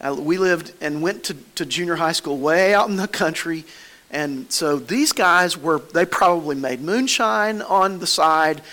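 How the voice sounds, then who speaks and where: A man's voice: on the high side, a little slow, pushed and bright. A man with a Southern American accent preaching a sermon in a church, folksy and conversational, with homespun stories. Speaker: pastor.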